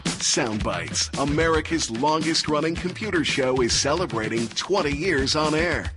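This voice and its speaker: A man reading a scripted radio station promo in a smooth, polished way. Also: medium pitch. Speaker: station announcer. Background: music bed.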